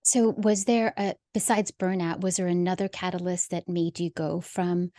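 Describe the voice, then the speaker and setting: Mellow-voiced woman host asking questions. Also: lowish voice, flat delivery, moderate pace, dry recording. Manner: direct, brief questions. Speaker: podcast host. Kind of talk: interview questions.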